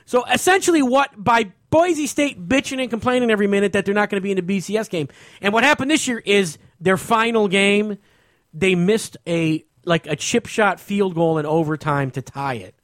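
A man's voice: sits high, moderate pace, a bit bright, no echo, studio quality. An American man on a casual sports talk show, ranting in an exasperated, emphatic way and repeating himself. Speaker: podcast host.

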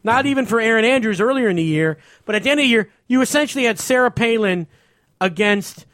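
A man's voice: very high voice, expressive, fast, a bit bright, no echo. A man co-hosting a podcast in casual comedy chat, rambling along bluntly and crudely. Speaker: podcast co-host.

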